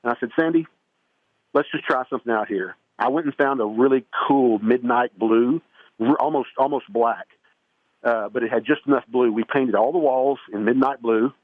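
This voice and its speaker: A man's voice: mid-range voice, moderate pace, no echo. A man guesting on a home improvement radio show comes through a narrow, tinny phone line with no room sound around him. He rambles in a folksy way, circling back and restarting his thoughts as he gives advice. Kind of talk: casual radio interview.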